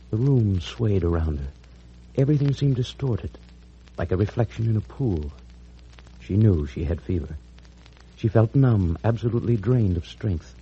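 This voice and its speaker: A man narrating a vintage radio serial in a measured, dramatic manner. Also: fairly low pitch, breathy edge. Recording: dull, noisy old radio recording.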